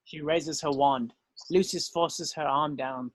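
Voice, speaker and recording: A man's voice: on the high side, fairly even intonation, takes it slowly, no room sound. A man reading stage directions as narrator in a scripted style.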